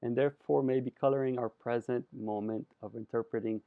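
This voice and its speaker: A man from Colombia giving a presentation lecture, expressive and savoring his words with drawn-out emphasis. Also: mid-range voice, no room sound. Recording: dry, phone-like narrow sound.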